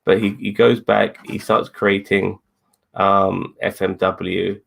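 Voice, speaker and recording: A man with a fairly low pitch and plenty of fry in his voice talks casually as a co-host. He rambles, repeats his phrases and drops swear words as he goes. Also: level tone, slow, a bit bright. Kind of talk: casual wrestling review chat.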